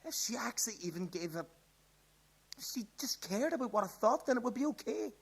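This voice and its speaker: A man's voice: very high voice, animated, brisk, slightly rough, exaggerated voice. A man acting an upset, put-upon teenage boy in a live radio play, naturalistic and self-justifying. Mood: agitated, hurt.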